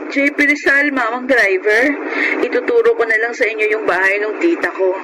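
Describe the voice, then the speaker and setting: A woman's voice: on the high side, normal speed, lightly acted. A woman playing a pregnant, subdued widowed taxi passenger in Filipino radio drama, speaking politely. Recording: very noisy radio drama recording.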